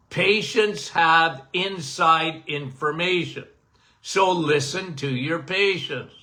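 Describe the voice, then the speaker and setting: High-voiced, bright-toned older man. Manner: animated, emphatic, repeats "okay?"; says he has a loud voice. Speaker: podcast host, doctor. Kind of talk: casual health talk.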